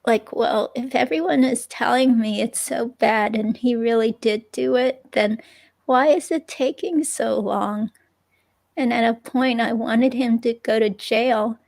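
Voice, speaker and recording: A woman plays a hurt ex-girlfriend answering police questions in a dramatic reading. Her voice sits a little high and creaks often. She talks slowly, rambling and hesitating as she goes. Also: a little mellow. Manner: hesitant, rambling, filler-laden. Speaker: reader in a dramatic reading of an interview transcript. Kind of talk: conversational dramatic reading of a transcript.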